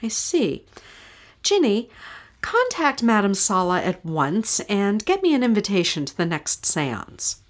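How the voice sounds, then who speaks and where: A woman's voice: mid-range voice, very animated, a little slow. Rich snobby lady in a radio play, theatrical and scornful, voice goes up and down a lot. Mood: imperious, probing. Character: haughty, sardonic wealthy woman with smug disdain. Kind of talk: old-time radio drama acting.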